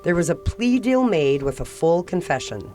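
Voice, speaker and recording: Audio drama narrator over clear music. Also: medium pitch, sing-song, moderate pace, mellow. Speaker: story narrator.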